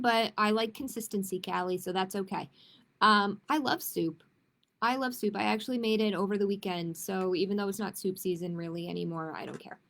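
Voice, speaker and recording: A woman hosting a children's story time, chatty and emphatic, repeating phrases as she talks and reads aloud. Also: fairly high pitch. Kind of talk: casual chat with viewers and read-aloud storytelling.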